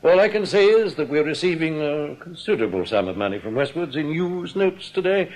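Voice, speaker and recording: A man playing a smooth, domineering English bank manager in a radio drama, with a condescending, clubby manner. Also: on the high side, lively intonation, a little fast, a little mellow, close to an everyday voice, poor audio. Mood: guarded, stern. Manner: condescending, old-school clubby address. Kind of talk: British radio drama acting.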